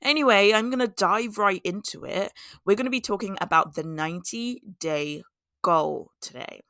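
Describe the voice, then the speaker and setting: A woman hosting her own podcast, talking casually with a voice hoarse and scratchy from a cold, laughing at herself and repeating her key points. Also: medium pitch, normal speed, bright, forceful tone, dry recording, studio quality. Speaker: podcast host. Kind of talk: casual solo podcast talk.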